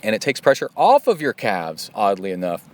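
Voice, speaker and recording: A man's voice: fairly low pitch, huge pitch swings, moderate pace, a little mellow. A middle-aged man hosting a podcast outdoors in a park, talking in a loose, chatty way with jokey asides. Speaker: podcast host and triathlon coach. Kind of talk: casual rambling podcast monologue.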